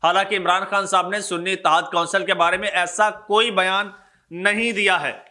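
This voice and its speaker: A man's voice: high voice, steady tone, moderate pace, pushed and bright. A man with a Pakistani accent hosting political news commentary for his viewers, speaking emphatically and stressing his points through repetition. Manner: emphatic, repeats phrases for stress. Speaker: political news vlogger, host.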